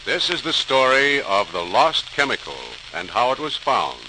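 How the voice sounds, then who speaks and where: A man giving formal, deliberate, dramatic scripted narration in the manner of a 1940s radio programme. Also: mid-range voice, big pitch swings, bright, forceful tone, faint music behind. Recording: hissy, crackling 1940s radio recording.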